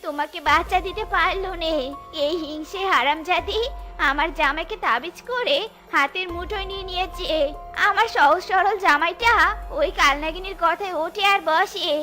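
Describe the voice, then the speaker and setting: A woman voicing a dramatic, shrill cartoon animal mother-in-law in exaggerated family dialogue. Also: normal speed, bright, forceful tone, faint music behind. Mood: spiteful.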